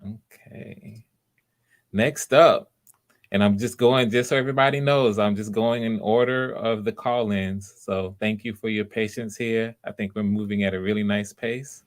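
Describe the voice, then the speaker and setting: A man with a fairly low voice hosts live auditions. He talks casually and with enthusiasm, giving encouraging feedback in a loose, conversational way. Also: steady tone, measured pace.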